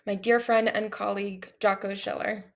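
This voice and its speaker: A woman's voice: mid-range voice, level tone, moderate pace. A woman hosting a live performance event, introducing the next performer. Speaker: festival host introducing a performer.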